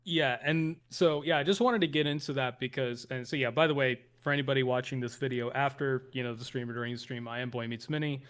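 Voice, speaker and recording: A man's voice: medium pitch, fairly even intonation, fast talker, few pauses, a bit bright. A man from northern India giving casual, explanatory political commentary on a livestream, often correcting himself as he goes.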